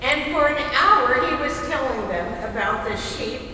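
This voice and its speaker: A woman's voice: high voice, animated, unhurried, sharp tone. A woman telling stories in a staged readers theater with a dramatic, emphatic delivery. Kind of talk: staged storytelling. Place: large reverberant hall, live performance. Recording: distant mic, echoey hall, faint noise.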